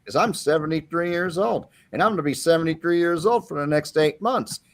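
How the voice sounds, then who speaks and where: A man in his fifties from Pennsylvania talks music as a panelist on a casual livestream. His voice sits a bit high and swings widely, and he is enthusiastic and jokey. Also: expressive, fairly quick. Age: 50s.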